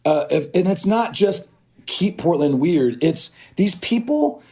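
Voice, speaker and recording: A man in his fifties from Oregon talks as a podcast guest, spinning rambling stories full of restarts. His voice sits a little high but sounds dark and mellow. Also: slow. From Oregon, USA. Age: 50s. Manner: rambling, animated storytelling with restarts. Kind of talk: casual chat.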